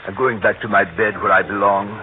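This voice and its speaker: An actor playing an ailing, erratic lord with a weary, gruff manner in 1930s radio drama style. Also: male voice, fairly low pitch, steady tone, fairly quick, natural voice. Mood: tired, dismissive. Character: ailing, curt, erratic English lord, self-important. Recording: tinny, faintly noisy old radio recording.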